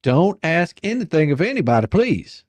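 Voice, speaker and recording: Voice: mid-range voice, sing-song, normal speed, a little mellow, dry recording. A performer playing a pushy, exasperated attorney in a dramatic reading of a deposition, badgering, sarcastic and coarse. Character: pushy, folksy, exasperated attorney questioning a witness.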